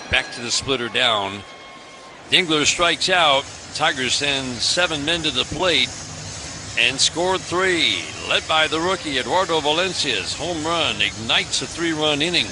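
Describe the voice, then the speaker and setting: An American man calling a baseball game live as a radio play-by-play announcer. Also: fairly high pitch. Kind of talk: live radio sports commentary. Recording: stadium ambience noise behind the voice. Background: ballpark ambience.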